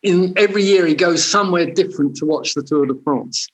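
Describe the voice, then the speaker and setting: A man with a notably high voice chats casually with plenty of enthusiasm. He leans on "you know" again and again as he talks. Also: a little fast, rough recording. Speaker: guest. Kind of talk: casual chat.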